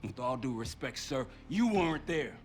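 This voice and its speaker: A male actor as a cool-headed special operative from a military action game. His mid-pitched voice is terse and matter-of-fact, with a defiant streak toward authority. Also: very animated, moderate pace, soft background music, lightly acted. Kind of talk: cinematic military thriller game acting.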